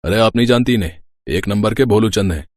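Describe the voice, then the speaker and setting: A man's voice: lowish voice, quick pace, no echo, lightly acted. A man playing a mischievous young village brother-in-law in a storybook tale, teasing and pleading. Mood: teasing, cheerful. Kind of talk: storybook acting.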